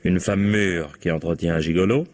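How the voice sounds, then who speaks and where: An actor playing a sardonic, dogged police inspector in a French radio mystery, prodding with irony and drawn-out interjections. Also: male voice, low voice, darkish tone, close to an everyday voice. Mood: wry, musing. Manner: ironic, prodding, drawling interjections. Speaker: actor in a radio crime drama.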